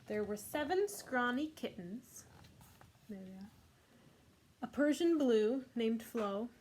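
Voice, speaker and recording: A woman hosting a children's storytime, reading a picture book expressively with casual asides. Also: fairly high pitch. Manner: expressive, voices the characters' lines.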